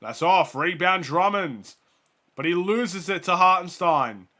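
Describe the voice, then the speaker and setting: A young man hosts a fan watchalong, reading out player stats and calling the action. His voice is bright and sits a little high. He is sick and his voice is starting to give out, and he gets louder on the big plays. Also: animated, a little slow. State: sick, voice going. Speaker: fan host of a watchalong stream. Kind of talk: casual play-by-play and stats commentary.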